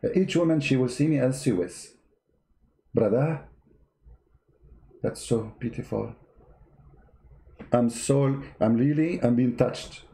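A man's voice: mid-range voice, slow pace, creaky, pauses often, dark tone, roomy sound. A man whose first language is not English, mocking a played clip in sarcastic commentary. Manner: sarcastic, mocking, repeats words. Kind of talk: mocking commentary over clips.

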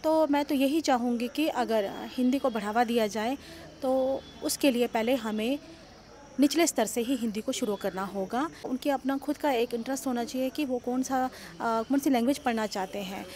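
A middle-aged woman from the education department answering questions at a press scrum, measured and pausing with "uh". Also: fairly high pitch, darkish tone, slight background noise. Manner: measured, with frequent "uh" pauses. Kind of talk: news interview. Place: indoor hallway of an auditorium. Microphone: bunched press microphones.

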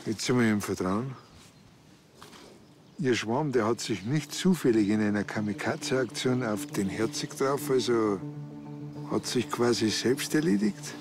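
A man's voice: mid-range voice, measured pace, music in the background, close to an everyday voice. An actor playing a cocky, stubborn village policeman with a Bavarian accent, talking in a colloquial, matter-of-fact way. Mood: earnest, self-assured. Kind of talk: naturalistic comedy-crime dialogue.

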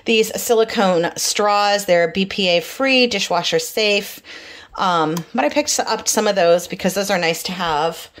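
A woman's voice: medium pitch, a little slow, fairly bright tone. Tired, rambling woman vlogger. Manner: rambling, filler-heavy, apologetic. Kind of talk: casual grocery haul chat.

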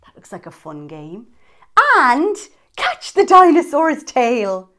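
A woman's voice: on the high side, huge pitch swings, takes it slowly, a bit bright. A woman in her thirties hosting storytime, reading a picture book aloud expressively to young children with dramatic emphasis.

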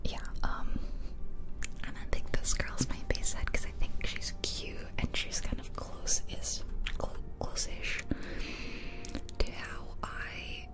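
A woman whispering softly and close to the microphone in casual, chatty ASMR commentary on a video game. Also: slow pace. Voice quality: soft unvoiced whisper. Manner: casual, rambling, chatty asides. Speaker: ASMR creator. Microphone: close ear-to-ear ASMR microphone.

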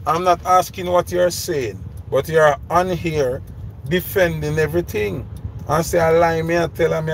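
A man's voice: on the high side, vocal fry. A man in his thirties with a Jamaican accent, giving emphatic commentary from inside a car, repeating his questions and mixing Patois with English. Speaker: vlogger. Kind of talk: ranting commentary. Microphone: phone camera held close. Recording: noisy car interior.

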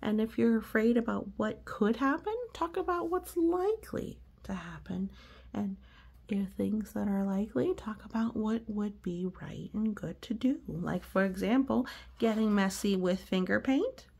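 A woman's voice: mid-range voice, a little slow, vocal fry, darkish tone, husky. A woman reading a storybook to young children, explaining things in a reassuring way. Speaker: storybook reader. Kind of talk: read-aloud commentary for young children.

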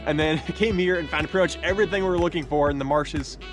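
A young man vlogging outdoors in a tidal marsh at night, talking excitedly and casually about the fish he catches. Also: a little high, quick pace, over music. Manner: enthusiastic, chatty, slangy. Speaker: micro-fishing vlogger. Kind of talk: casual outdoor vlog narration.

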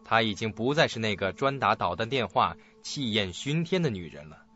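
Low, animated male suspense narrator. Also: normal speed, breathy edge. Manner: dramatic storytelling. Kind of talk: scripted suspense drama narration. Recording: dull lo-fi audio with music.

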